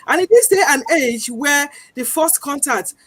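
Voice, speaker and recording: A woman with a Nigerian accent, speaking earnestly as a guest on a panel discussion, pausing often with ums. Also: high voice, lively intonation, fairly bright tone, dry recording. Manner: earnest, deliberate, frequent pauses with um.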